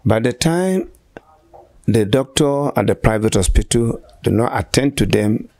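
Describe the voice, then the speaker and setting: Interviewee: Nigerian man in his sixties, church shepherd. Deliberate, halting delivery, very unhurried, dry sound. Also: medium pitch, expressive, darkish tone, no echo. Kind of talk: interview answers. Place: small furnished room indoors, on a sofa. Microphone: handheld interview microphone held by interviewer.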